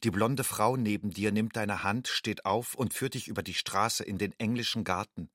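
A man playing a young undocumented student, an anxious outsider, in a measured, introspective radio drama monologue. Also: on the low side, a bit bright, no room sound, studio quality. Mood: tender, tense. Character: young illegal immigrant student, anxious, inwardly longing outsider.

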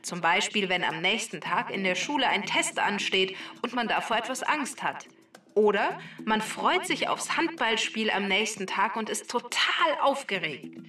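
A woman's voice: medium pitch, lively intonation, moderate pace, pushed and bright, soft background music. A woman giving scripted explanations for children on a podcast, in a roomy, slightly distant recording.

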